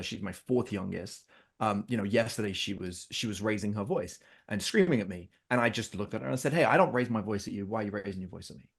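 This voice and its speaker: Fast-talking man telling family stories. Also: on the low side, slightly rough. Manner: animated, acts out his kids' and his own lines. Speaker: podcast guest. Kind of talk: storytelling in casual conversation.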